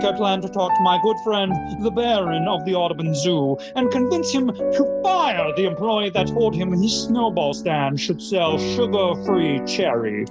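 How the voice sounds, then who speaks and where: A woman playing a pompous, easily outraged male talk-show host in a comedy radio sketch, declaiming in archaic, highbrow phrases. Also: highs cut off. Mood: grandly composed.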